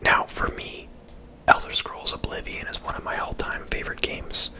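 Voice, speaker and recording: A person giving casual, unhurried ASMR gaming commentary in a soft, breathy whisper close to the microphone.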